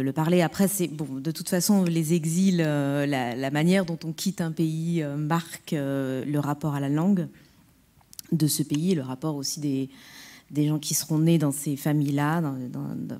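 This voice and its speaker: A woman poet at a round-table discussion, speaking reflectively and pausing to find her words. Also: low-pitched, dark tone.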